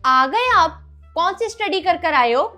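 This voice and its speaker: A woman's voice: very high-pitched, expressive, a little slow, bright, forceful tone, faint music behind, exaggerated voice. A woman playing a sarcastic, tattling older sister who complains and bickers in a comic family skit. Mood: sceptical.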